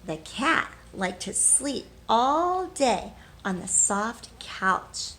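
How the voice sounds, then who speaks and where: Slow, animated woman reading to children. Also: a little high, a little hiss or hum. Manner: expressive, prompting young listeners. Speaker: teacher reading aloud. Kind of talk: children's storybook read-aloud with questions to listeners.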